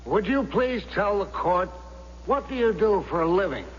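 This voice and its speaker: An actor playing a relentless, accusing prosecutor in a radio drama, speaking formally and pressing hard. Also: male voice, high-pitched, expressive, normal speed. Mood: stern, accusing. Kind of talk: 1970s radio drama acting. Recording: muffled echoing old radio, hiss and hum.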